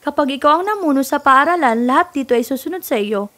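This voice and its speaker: A woman playing a chatty, knowing young princess who acts as a guide in an animated fairy tale. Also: sits high, fast talker. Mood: explanatory.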